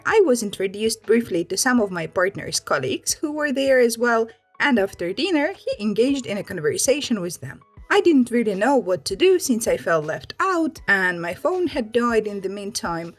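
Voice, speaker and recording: Higher-voiced woman narrating stories over music. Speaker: channel host reading stories. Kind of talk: scripted story narration with commentary.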